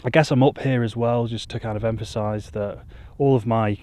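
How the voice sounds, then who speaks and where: A man, talking to camera in a rambling, self-deprecating monotone on a hilltop, into a mic with a furry windscreen. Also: mid-range voice, normal speed. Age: 20s. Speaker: YouTuber. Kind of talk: casual vlog talk to camera. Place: outdoors on a hilltop.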